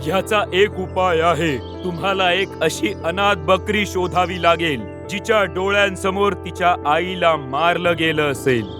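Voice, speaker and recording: Man playing a high-voiced solemn forest sorcerer. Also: a little slow, hardly any pauses, music in the background. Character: grave male forest sorcerer holy man. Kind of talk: dubbed animated moral fable.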